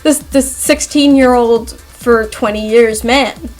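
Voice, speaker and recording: A young adult woman chatting casually in a giggly, animated, self-deprecating way, as if telling stories to viewers. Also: a little high, slow, low-quality recording. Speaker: YouTube artist telling personal stories. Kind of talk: casual rambling chat over speedpaint. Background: background music.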